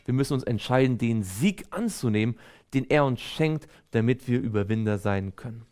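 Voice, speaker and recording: A man's voice: medium pitch, lively intonation, moderate pace, a little mellow. A man preaching a sermon in an earnest, exhortative way, reading scripture aloud and stressing key phrases.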